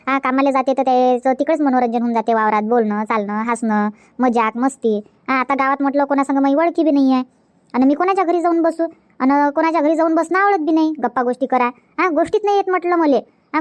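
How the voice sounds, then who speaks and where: Woman voicing a young newlywed cartoon wife, high and rapid, nagging, repeats herself. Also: no echo, lightly acted. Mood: pleading. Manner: repetitive, nagging, talks in a rush. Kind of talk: Marathi comedy cartoon dub.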